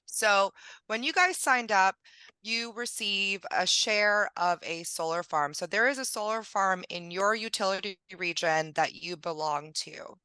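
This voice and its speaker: Woman getting over a cold, crisp bright tone. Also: mid-range voice, no echo. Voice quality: slightly gravelly. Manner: friendly, explanatory, frequent ums. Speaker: billing presenter for a solar company. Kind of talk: webinar presentation.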